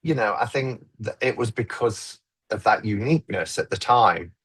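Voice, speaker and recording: A man's voice: lowish voice, lively intonation, moderate pace, fairly bright tone, breathy edge. A man who makes tarot videos, talking reflectively on a live stream and often restarting his sentences. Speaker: tarot YouTuber. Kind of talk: casual conversation on a live stream.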